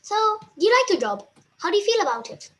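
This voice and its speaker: A polite young woman with a very high voice leads an interview. She reads her prepared questions and says thank you again and again. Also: sing-song, quick pace, fairly bright tone.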